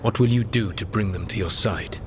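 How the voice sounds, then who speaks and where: Man playing a solemn, low-voiced ancient elven god. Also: background noise, natural voice. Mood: grave. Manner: formal, measured, solemn. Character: ancient male elven god, grave, calculating, regretful mentor. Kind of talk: fantasy game dramatic dialogue.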